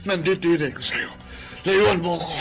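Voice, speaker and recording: Cruel, gravelly Filipino father, raging. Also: high-pitched, steady tone, normal speed. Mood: furious, rejecting. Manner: harsh, threatening. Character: cruel, sickly, raging father rejecting his son. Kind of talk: Filipino radio drama acting. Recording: loud music under the voice.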